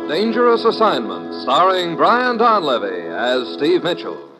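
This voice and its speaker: A man announcing a radio drama in a booming, declamatory style. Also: huge pitch swings, measured pace, poor audio. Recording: old radio broadcast with brass music.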